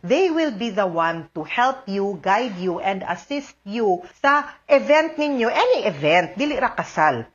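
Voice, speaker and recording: A woman's voice: mid-range voice, big pitch swings, unhurried, hardly any pauses, dull audio. A woman with a Cebuano Filipino accent giving conversational advice as a radio host, explaining emphatically with frequent tag questions. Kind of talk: conversational advice talk mixing in English.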